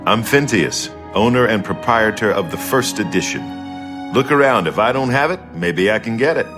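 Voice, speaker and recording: A man plays a courteous fantasy bookseller with a salesman's polish. His voice sits fairly low, over faint background music. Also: expressive, normal speed, natural voice. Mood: welcoming. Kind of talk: video game dialogue acting.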